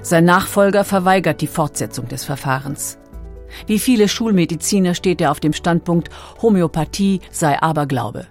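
A woman reading scripted documentary narration in a measured, factual manner. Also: on the low side, darkish tone, faint music behind.

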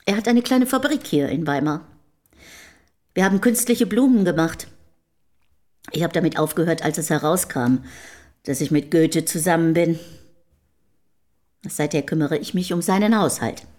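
An actress playing a guarded, down-to-earth middle-aged housekeeper of the early 1800s in a radio play, speaking plainly and tersely. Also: female voice, low voice, moderate pace, pauses often, darkish tone, natural voice. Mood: plain, reserved. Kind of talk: German radio play acting.

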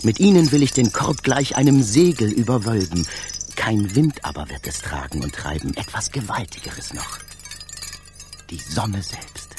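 An actor playing a dreamy, fervent poet-inventor and space traveller in a literary radio drama, with a theatrical, poetic delivery. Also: male voice, medium pitch, a little mellow, a little hiss or hum. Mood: determined, exalted.

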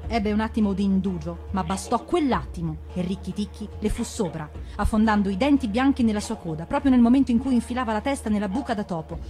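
Female storyteller: expressive storybook narration, medium-pitched voice, faint musical backing. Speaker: actress reading stories on radio.

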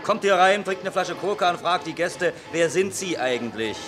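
A man's voice: sits high, lively intonation, moderate pace, music in the background. An actor playing a mouthy young male gang boss in a vintage radio crime drama, with a brash, flippant manner. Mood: mocking, insolent.

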